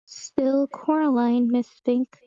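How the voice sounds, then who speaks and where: A woman's voice: high-pitched, fairly even intonation, slow, warm tone, no echo. A woman playing a curious, blunt young girl in a screenplay table read, on a dull lo-fi microphone. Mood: curious, puzzled.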